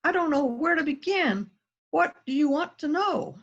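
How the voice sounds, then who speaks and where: A woman playing a housewife suspected of murder in an amateur radio play read-through, hesitant and measured, over a video call. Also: sits high, muffled recording. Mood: shocked innocence. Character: housewife murder suspect, feigning innocence, resentful wife.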